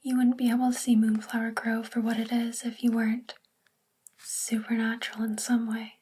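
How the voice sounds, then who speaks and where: A woman playing a sweet, bookish witch librarian in a soft spoken ASMR roleplay, gentle and soothing in a breathy, whispery voice. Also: a little high, completely flat delivery, normal speed, slight background noise, natural voice. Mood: gentle, hushed.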